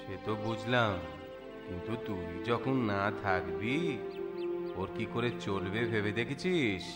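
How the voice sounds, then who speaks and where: A man's voice: fairly low pitch, unhurried, over loud music, lightly acted. A man playing a bossy but sensible village merchant in a cartoon, with a scolding, emphatic manner. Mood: probing, sensible. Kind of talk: cartoon dialogue acting.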